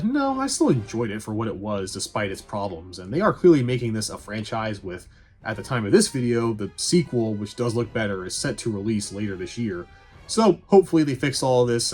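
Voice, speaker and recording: Husky man giving blunt casual reviews. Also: on the low side. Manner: blunt, sweary, wry asides. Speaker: video essay host reviewing movies. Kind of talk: casual, opinionated review commentary voice-over. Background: background music.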